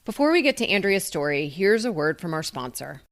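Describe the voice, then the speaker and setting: A woman's voice: lowish voice, big pitch swings, fairly quick, gravelly. A woman co-hosting a podcast, delivering a scripted introduction to the show. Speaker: podcast co-host and editor.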